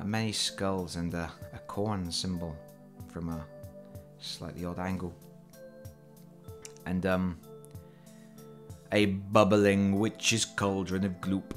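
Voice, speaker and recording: A man with a cold, hosting a casual, chatty board game unboxing and talking over his hands at a table. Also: low-pitched. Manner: chatty and self-deprecating, with fillers. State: has a cold. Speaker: board game channel host. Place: indoors at a table.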